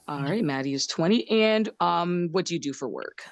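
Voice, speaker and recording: A woman's voice: lowish voice, lively intonation, normal speed, no echo. A woman hosting an unscripted dating show, asking contestants questions. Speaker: host.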